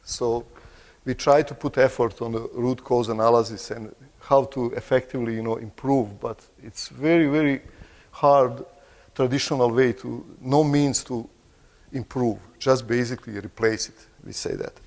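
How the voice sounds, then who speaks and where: A middle-aged man with a Croatian accent giving a conference presentation, halting and restarting phrases and clearing his throat now and then. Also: mid-range voice, fairly even intonation, a little mellow. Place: large conference hall. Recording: long hall reverb.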